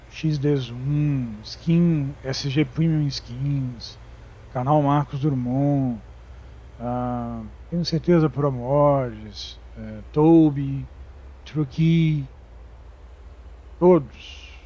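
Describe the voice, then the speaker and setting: Slow, halting Brazilian man, muffled mic. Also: medium pitch, mellow, slightly rough. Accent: Brazilian Portuguese. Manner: halting, many fillers and restarts. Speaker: gaming YouTuber. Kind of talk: casual game commentary.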